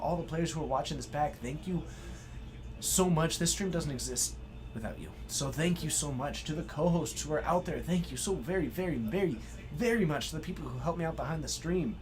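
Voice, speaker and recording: An excitable man hosting a live stream, giving play-by-play card game commentary and effusively thanking his viewers. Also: fairly high pitch. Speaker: stream host and match commentator.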